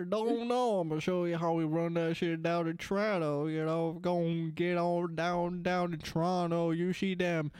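A man chatting casually with friends, rambling and joking in a drawn-out put-on country voice. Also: high voice, steady tone, darkish tone, clear, resonant tone, no room sound, studio quality. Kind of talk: casual chat, goofing in a put-on country drawl.

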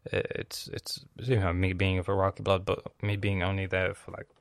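A man raised in Lebanon hosts his own podcast, speaking low with a gravelly, fry-laden voice. He rambles freely, swears casually and often loses his thread. The recording sounds dry and close. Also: monotone, normal speed, no room sound. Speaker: podcast host.

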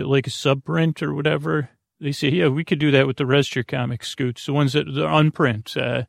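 A man with a creaky voice hosting a bedtime podcast, rambling in an improvised, halting monologue that meanders and loses its thread. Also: mid-range voice, no room sound, studio quality. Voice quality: creaky, gravelly. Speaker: podcast host.

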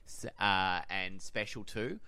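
A man of about thirty with an Australian accent rambles through casual podcast chat, poking fun at himself. His voice sits low but has a bright, forceful edge, and it sounds close and dry. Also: smooth, no echo. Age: 30s. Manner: rambling, self-mocking, quick laughs. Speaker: podcast guest.

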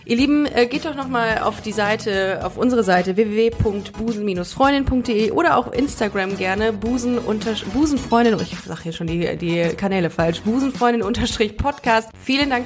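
A woman's voice: on the high side, normal speed, few pauses, faint music behind. A young adult woman hosting a podcast, chatty and rambling, often restarting sentences. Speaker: podcast host. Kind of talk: casual podcast chat.

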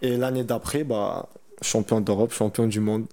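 A man's voice: on the low side, fairly even intonation, normal speed, warm tone. An eighteen-year-old man chatting casually as an interviewed athlete, using colloquial speech and repeating phrases for emphasis. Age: 18 years old. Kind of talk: casual chat.

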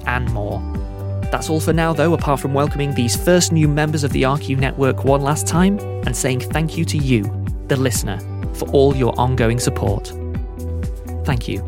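A man delivering a scripted promotional announcement as a podcast network's announcer. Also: medium pitch. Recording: music bed behind the voice.

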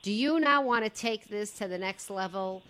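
A woman's voice: medium pitch, normal speed, bright, forceful tone, smooth. A woman hosting a radio talk show, interviewing a guest in a chatty, affirming way.

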